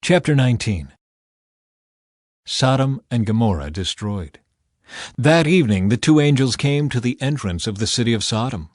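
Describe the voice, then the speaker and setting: A man's voice: medium pitch, lively intonation, moderate pace, long pauses, dry recording, clean studio sound. A man narrating a scripted audiobook expressively as a storyteller.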